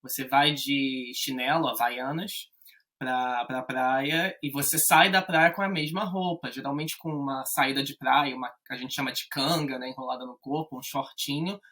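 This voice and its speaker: A man with a Carioca accent talks loosely as a podcast guest, wandering through his points with plenty of fillers. His voice is bright and forceful, at a middling pitch. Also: fairly even intonation, normal speed. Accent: Carioca Brazilian Portuguese. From Rio de Janeiro, Brazil. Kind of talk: casual conversation.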